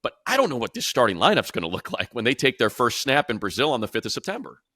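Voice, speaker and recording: A man's voice: medium pitch, wildly swooping pitch, fast, pushed and bright, no room sound, clean studio sound. An American man hosting a casual sports panel, talking informally and throwing out questions. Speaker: sports show host and play-by-play announcer.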